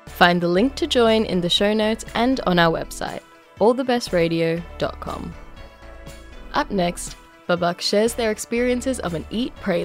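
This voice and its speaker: An Australian woman reading scripted personal stories and radio announcements in an emphatic, conversational way. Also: lowish voice. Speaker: storyteller and radio show host.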